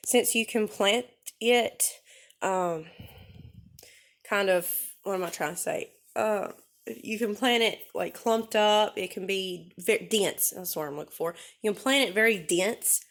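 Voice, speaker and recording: A woman's voice: mid-range voice, fairly bright tone. A woman talks through a homemade gardening demonstration in a loose, chatty way, correcting herself as she goes. Her voice is stuffy and nasal because she is getting over a cold. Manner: chatty, rambling, with many ums and self-corrections. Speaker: vlogger. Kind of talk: casual how-to demonstration.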